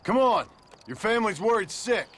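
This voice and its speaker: Terse American gunslinger in heavy noise. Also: male voice, high voice, sing-song, moderate pace. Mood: concerned, brisk. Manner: terse, plain-spoken, earnest. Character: gruff, terse Old West gunslinger and family man. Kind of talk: video game cutscene acting. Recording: loud outdoor game ambience, animals, hooves.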